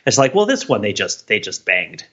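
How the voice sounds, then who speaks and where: A man co-hosting a podcast in casual analytical discussion, animated and given to acting out imagined remarks as examples. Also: lowish voice, brisk, dull audio. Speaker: podcast co-host.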